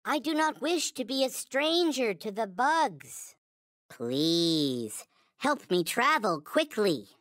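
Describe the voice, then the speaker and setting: A man voicing a small, naive, reverent toy space alien in a video game, with a high childlike cartoon voice and solemn, stilted, formal phrasing. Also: big pitch swings, slow, fairly bright tone, no echo, studio quality, exaggerated voice. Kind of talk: video game character voice lines.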